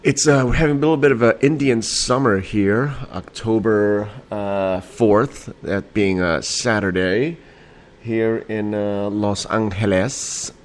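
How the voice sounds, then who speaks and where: Rambling, tipsy late-night podcast host. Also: male voice, fairly low pitch, fairly even intonation, slow. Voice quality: a little nasal. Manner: rambling, laughing, profane, with many fillers. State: slightly tipsy. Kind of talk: late-night casual solo talk show. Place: home at night.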